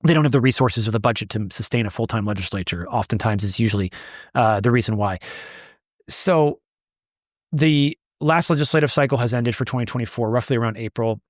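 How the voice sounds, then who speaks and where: A man's voice: medium pitch, a little fast, no room sound, clean studio sound. A man answering interview questions as the founder of an advocacy group, speaking emphatically and repeating words as he builds a point.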